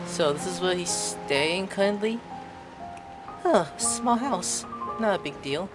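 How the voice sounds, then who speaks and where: A woman giving casual gameplay commentary, excitable, with blurted asides and crude joking. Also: lowish voice, takes it slowly. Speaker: gameplay streamer. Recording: game music behind the voice.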